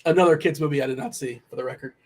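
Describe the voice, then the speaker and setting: A man's voice: a little high, a little mellow. A young man analysing fantasy baseball picks in casual chat, rambling and correcting himself as he goes. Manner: rambling, self-correcting, quick asides. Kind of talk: casual sports betting chat.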